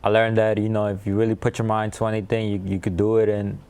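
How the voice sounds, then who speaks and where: A young actor in his twenties from El Salvador, a guest in a TV studio interview, gives short, shy answers. His voice is fairly low. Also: little pitch movement, fast, dark tone, smooth. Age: 20s.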